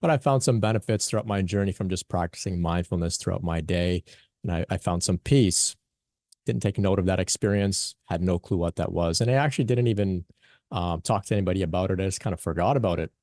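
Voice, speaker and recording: Man with a low voice, earnest spiritual teacher, halting with fillers, dry close sound. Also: a little mellow, no room sound. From born in Pakistan, raised in Canada. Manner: earnest, many fillers and restarts. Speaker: spiritual teacher presenting at a conference. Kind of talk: conference talk, personal story.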